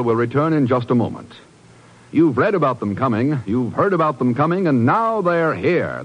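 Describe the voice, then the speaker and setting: A man's voice: fairly high pitch, highs cut off. A man announcing in a polished, emphatic 1950s radio style, reading scripted program and sponsor copy. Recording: band-limited old radio broadcast recording. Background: music.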